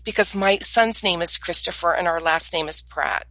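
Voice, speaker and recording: A middle-aged woman with a head cold, co-hosting a casual podcast chat and praising her guest warmly. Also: fairly low pitch, moderate pace, dry recording. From United States. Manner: effusive, heaps praise on guests. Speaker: podcast co-host, interviewer.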